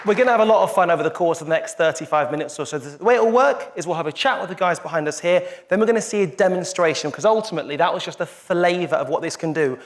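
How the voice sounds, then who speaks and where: A man hosting a live stage event, presenting with energetic, emphatic build-ups. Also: fairly high pitch, fast talker, hardly any pauses. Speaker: event host.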